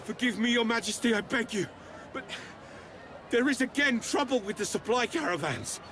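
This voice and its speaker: A man's voice: very high-pitched, lively intonation, normal speed, sharp tone, slight background noise, heavily acted. An actor playing an anxious, servile messenger to a king in a medieval video game, apologetic and deferential. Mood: nervous, urgent. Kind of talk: theatrical video game acting.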